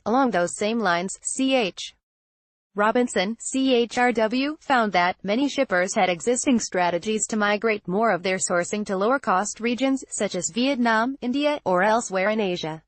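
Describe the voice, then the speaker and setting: A woman's voice: mid-range voice, no room sound. Woman reading financial news, dry close sound. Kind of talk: scripted news narration.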